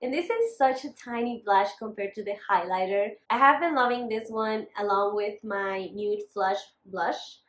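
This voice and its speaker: A woman's voice: medium pitch, normal speed, hardly any pauses. A young woman with a northern Mexican accent, chatting candidly in a casual makeup vlog with apologetic asides. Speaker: beauty vlogger. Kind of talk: casual makeup update talking to camera. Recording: echoey room.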